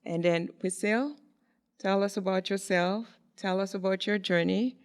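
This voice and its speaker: A woman hosting a podcast, giving a measured, formal introduction of her guest. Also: medium pitch, fairly even intonation, clear, resonant tone. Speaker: podcast host.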